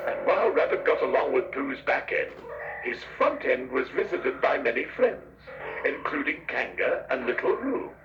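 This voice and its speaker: A man's voice: medium pitch, sing-song, moderate pace, creaky, far from the mic. A man narrating a scripted children's story as the storyteller. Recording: dull cassette, roomy, music behind.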